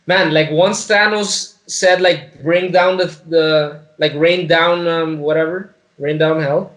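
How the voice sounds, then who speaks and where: Higher-pitched young man, blunt and slangy. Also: steady tone, slow pace, fairly bright tone. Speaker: podcast co-host. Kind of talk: casual chat about a film.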